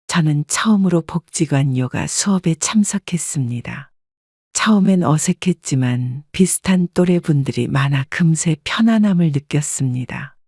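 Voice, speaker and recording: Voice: mid-range voice, a little mellow, no room sound, clean studio sound. A narrator reading a scripted first-person story in a composed storytelling manner.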